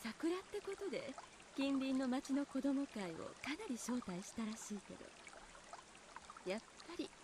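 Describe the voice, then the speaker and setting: A woman's voice: high voice, very animated, a little fast, noisy background. An actress voicing a composed, knowing and teasing adult woman in a mystery game.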